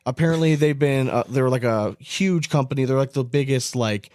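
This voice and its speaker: An American man talks as a podcast co-host in an informal, meandering way. His voice sits at an average male pitch. The sound is dry, with very little room around it. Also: moderate pace, a little mellow, no room sound. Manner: rambling, informal, frequent "like". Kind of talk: casual chat.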